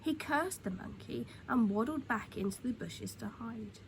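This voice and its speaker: A woman reading a children's story aloud in an expressive, dramatic way, dropping into hushed tones for suspense. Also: on the high side, a little slow. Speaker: storytime reader. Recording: clear background noise.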